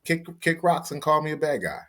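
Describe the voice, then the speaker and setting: Easygoing male host, slangy and quick to laugh, his pitch moving a lot. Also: very animated, a bit bright. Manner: laid-back, laughs easily, slangy. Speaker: podcast host. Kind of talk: casual chat.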